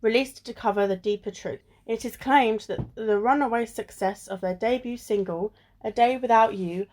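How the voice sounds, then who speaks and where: A woman playing a clever, level-headed amateur sleuth in an amateur radio play, with a measured delivery. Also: medium pitch, a little mellow, natural voice. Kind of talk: amateur radio play acting, often reading articles aloud. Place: recorded alone at home.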